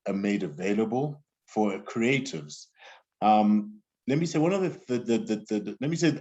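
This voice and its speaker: Low-voiced man, dull lo-fi sound. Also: a little mellow. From Zimbabwe. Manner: rambling, many restarts and fillers. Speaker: interview guest, music executive. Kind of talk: casual interview conversation.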